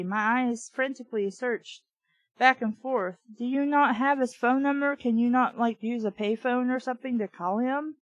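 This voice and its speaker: A woman with a fairly high voice reads a story aloud in a close, dry recording. She slips between narration and casual commentary, sounding sarcastic and exasperated in her asides. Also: no echo, rough recording. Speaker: book reader and commentator. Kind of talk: audiobook-style reading with casual asides.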